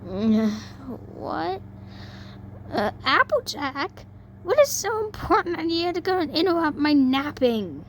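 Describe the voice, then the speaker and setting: A woman voicing a tired, defensive young female pegasus pony in a story reading, grumpy and halting. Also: sits high, very animated, voice pushed up, exaggerated voice. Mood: groggy, grumpy. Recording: noisy amateur home recording.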